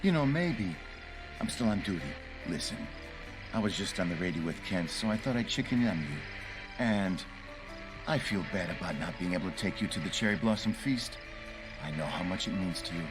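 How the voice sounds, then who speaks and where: A man's voice: sits low, lively intonation, brisk, over music, low-quality recording. A man playing a folksy, good-natured forest ranger in a video game cutscene. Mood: tender. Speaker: forest ranger on night shift.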